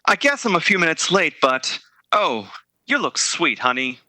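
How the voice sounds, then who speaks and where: A man acts out a young suitor from a 1930s radio play. His voice is extremely bright and slightly high, with lively inflection. He is eager, romantic and full of sweet talk. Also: normal speed, full character voice. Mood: ardent, tender. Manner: ardent, romantic.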